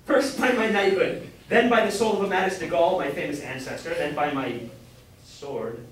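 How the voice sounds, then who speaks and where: A man's voice: fairly high pitch, normal speed, close to an everyday voice. A man playing a pompous, mock-heroic knight errant, declaiming in archaic English in an amateur staged comedy reading. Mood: grandly heroic. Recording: very echoey room, distant mic, faint noise.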